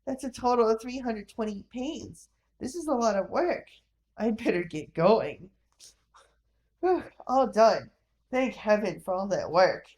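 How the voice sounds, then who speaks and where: Woman voicing a weary, slow-spoken embittered war veteran. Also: a little high, lively intonation, a little mellow. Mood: relieved, grateful. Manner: weary, downhearted, plain-spoken. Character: embittered one-legged war veteran glass seller, middle-aged man.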